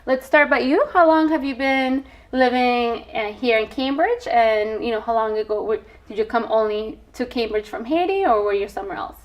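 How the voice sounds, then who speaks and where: Woman host, bright higher voice, keeps guests talking with warm little affirmations. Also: moderate pace, few pauses. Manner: encouraging, with frequent short affirmations. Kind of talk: community TV interview.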